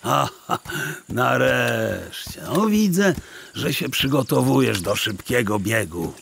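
Gravelly, animated old scholar. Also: male voice, mid-range voice, slow pace, a little hiss or hum, full character voice. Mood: mock anger. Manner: theatrical, wordy, mock-stern. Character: kindly, exasperated old scholar pleading with his horse. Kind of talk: audio drama acting.

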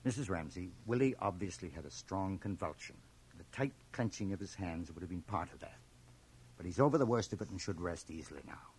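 A man's voice: sits low, lively intonation, brisk, husky, poor audio. A man playing an authoritative, matter-of-fact small-town doctor in a radio drama taped on cassette. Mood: dismissive, assured. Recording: cassette-sourced radio drama, faint hiss.

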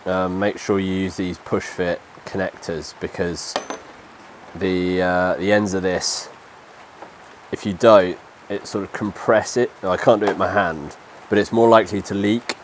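A man's voice: deep voice, unhurried. Man with a stuffy cold. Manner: relaxed, explains step by step while working. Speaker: DIY vlogger. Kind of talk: casual how-to walkthrough. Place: outdoors under a shelter in the rain. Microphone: lapel mic. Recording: light rain noise behind.

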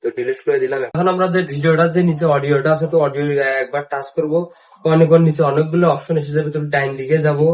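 A man's voice: on the high side, a little mellow. A man hosting a casual step-by-step app tutorial, with repetitive, instructional phrasing. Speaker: tutorial host.

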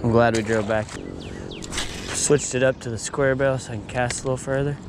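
A man's voice: medium pitch, brisk, background noise. Upbeat man fishing a pond. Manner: excited, upbeat, talks to viewers as y'all. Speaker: fishing vlogger. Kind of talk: casual outdoor vlog narration. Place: outdoors by a pond. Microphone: action camera on chest or in hand.

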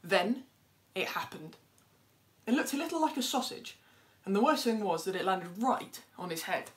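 Young adult woman, children's storyteller, expressive read-aloud with very animated intonation. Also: medium pitch, moderate pace. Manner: expressive, acts out dialogue. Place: plain indoor room at home.

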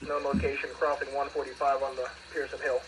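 Male police radio voice, medium pitch, terse and procedural, with clear scanner noise. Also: flat delivery, fast talker, fairly bright tone, low-quality recording. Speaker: emergency radio dispatcher or officer.

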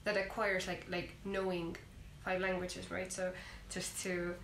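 Young Estonian-accented woman, low voice. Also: monotone, measured pace, creaky, fairly bright tone. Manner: chatty, filler-heavy, lots of "like". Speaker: interviewer. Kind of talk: casual conversational interview. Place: living room, seated on a sofa. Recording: roomy living room with faint hiss.

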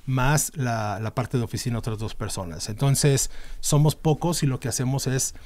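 A man's voice: medium pitch, normal speed, darkish tone. A middle-aged man with a Mexican accent, a band manager talking through his work as a podcast guest, with lots of fillers and restarts as he explains. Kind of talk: casual interview talk. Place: small dim podcast studio. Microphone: studio mic on a boom arm.

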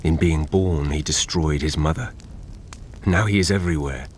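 Deep, ominous nightmare voice. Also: male voice, moderate pace, slight background noise. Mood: ominous, fervent. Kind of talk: BBC radio drama acting.

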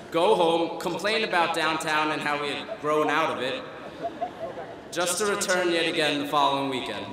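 A man's voice: fairly high pitch, noisy background. Young man giving a wry speech in a big echoing hall. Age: young adult. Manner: wry, self-deprecating, timed for laughs. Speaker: student commencement speaker. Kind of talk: humorous graduation speech. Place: large auditorium stage at a podium. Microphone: podium microphone. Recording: echoey hall through a PA system. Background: audience laughter and hall ambience.

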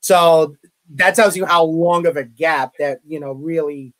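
A man's voice: a little high, unhurried, fairly bright tone. A man in his thirties with a Brooklyn accent, talking as an interview guest over a video call, rambling with many restarts and fillers. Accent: Brooklyn New York. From Brooklyn, New York. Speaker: interview guest, college media relations director. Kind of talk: casual interview chat. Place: home room, indoors.

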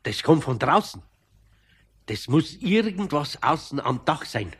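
A man playing a kindly, slightly gruff older carpenter with a Bavarian accent, speaking naturally and patiently in a radio play. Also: fairly high pitch, very animated, measured pace, full character voice. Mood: searching.

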